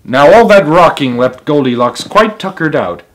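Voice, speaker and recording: A man's voice: fairly high pitch, animated, normal speed, a bit bright. A man reading a children's story aloud as its host, with emphatic delivery and casual asides.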